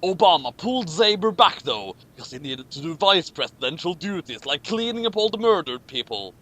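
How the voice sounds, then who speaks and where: A man's voice: fairly high pitch, very animated, normal speed, background noise. A man reading a story aloud and commenting on it casually as he goes. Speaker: co-host reading fan fiction aloud with commentary. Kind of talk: amused read-aloud commentary and casual chat.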